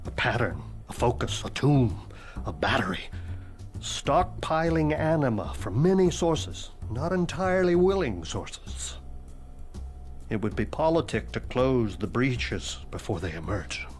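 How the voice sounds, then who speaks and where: A man voicing the ghost of a dead male school headmaster, formal and morbidly deadpan, now and then dropping into a whisper, in a video game cutscene with music behind. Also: medium pitch, a little slow. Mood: wry.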